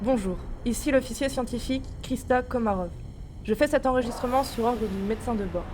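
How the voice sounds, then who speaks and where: Actress as female science officer, haunted and insistent, high voice over noisy ship ambience, audio drama. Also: fairly quick, close to an everyday voice. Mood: haunted, exhausted. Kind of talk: amateur audio drama acting.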